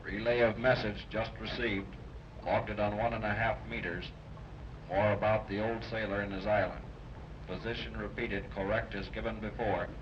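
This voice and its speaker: An actor playing a gruff, terse radio operator who speaks in clipped formal procedure, in 1930s radio serial style. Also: male voice, on the low side, level tone, normal speed, lightly acted. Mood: official. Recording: dull, noisy 1930s radio recording.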